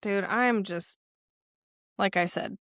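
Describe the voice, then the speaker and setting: A woman's voice: medium pitch, slow, a bit bright, no echo, studio quality. A woman of thirty-six chatting casually as a podcast co-host, emphatic and rambling, with slangy, sweary phrasing. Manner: emphatic, profane, philosophical musing in casual slang.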